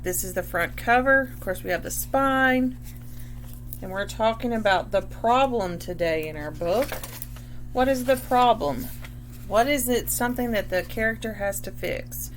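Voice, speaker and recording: A woman teacher reading a story aloud to children, deliberately and instructively, stopping to explain key words. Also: mid-range voice, slight background noise.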